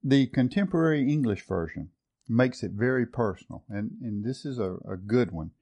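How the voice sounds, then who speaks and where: A man's voice: medium pitch, animated, moderate pace, warm tone. A man teaching a Bible study session. He talks in an earnest, reflective way, reads and compares scripture translations, and often repeats words while he finds the phrase.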